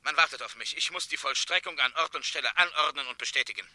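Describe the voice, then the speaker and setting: A cold, officious governor in a 1960s radio play. The voice is very bright and tense, set a little high and closely recorded. He declaims with stern, precise indignation. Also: quick pace, no room sound. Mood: indignant. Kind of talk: 1960s German radio drama acting.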